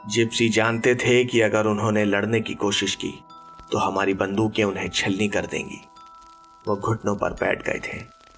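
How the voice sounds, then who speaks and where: A man narrating a horror audiobook in a measured, deliberate, dramatic storytelling way over background music. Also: lowish voice, a bit bright.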